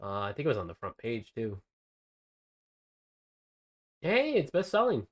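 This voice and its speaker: A man's voice: mid-range voice, wildly swooping pitch, a little slow. A man co-hosting a podcast, chatting casually about games and rambling with lots of fillers and restarts. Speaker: podcast co-host presenting game news.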